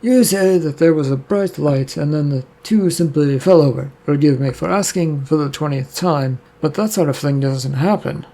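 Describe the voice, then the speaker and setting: A man's voice: on the high side, normal speed, few pauses, mellow. A man voicing a weary police officer questioning a witness with dry doubt. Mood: skeptical.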